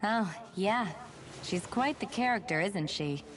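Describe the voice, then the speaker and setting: An actress voicing a brisk, teasing young woman who serves as a resistance medic in a video game. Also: medium pitch, lively intonation, close to an everyday voice. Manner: teasing, self-assured. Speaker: medical officer. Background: game music.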